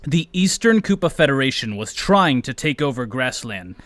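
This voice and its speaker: A man's voice: mid-range voice, very animated, moderate pace, fairly bright tone. A man co-hosting a podcast in casual, joking, sweary banter, sometimes reading aloud. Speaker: podcast co-host.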